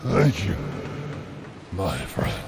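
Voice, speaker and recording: A man voicing a huge wounded sea dragon turtle in a radio play, deep and gravelly, speaking in solemn, pleading, halting phrases. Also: sing-song, background noise, exaggerated voice. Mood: pleading, grateful.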